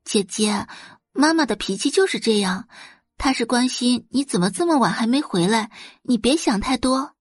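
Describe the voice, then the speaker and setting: A woman's voice: a little high, lively intonation, moderate pace, husky, no echo, soft background music. A woman voicing a meek-sounding, scheming young sister in an audio novel, pleading and placating sweetly.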